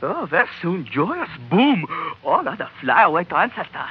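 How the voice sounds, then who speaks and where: An actor playing an eager, flattering islander man who speaks broken pidgin English in an old radio drama. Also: high-pitched, moderate pace. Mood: gleeful. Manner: broken pidgin English, exclaiming with oohs and chuckles. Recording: muffled old radio recording with hiss.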